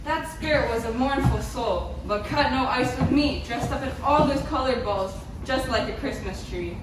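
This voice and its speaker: A high-voiced old miser, acted by a woman, declaims rhyming pantomime lines theatrically. The voice is distant in a very echoey hall, with music behind. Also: moderate pace, noisy background, natural voice. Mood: uneasy, reflective. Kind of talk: pantomime stage acting. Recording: very echoey stage from far off, music.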